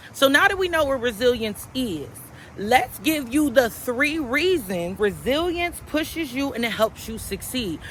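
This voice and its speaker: Woman vlogger, high and bright, loud and slangy, swearing, shot outdoors on camera audio with faint traffic behind. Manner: animated, slangy, swears freely, talks straight to viewers. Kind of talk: casual motivational vlog. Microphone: camera without microphone.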